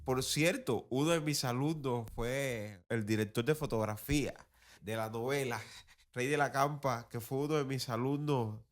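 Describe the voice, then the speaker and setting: A man with a mid-pitched voice hosts a commentary show. He talks colloquially and critically, like a teacher sharing opinions. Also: moderate pace. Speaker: podcast host and teacher.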